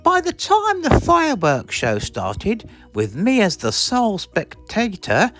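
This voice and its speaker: A British woman reading a children's storybook aloud in an expressive, theatrical way. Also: medium pitch, measured pace, faint music behind. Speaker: storybook reader. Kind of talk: animated scripted storybook narration.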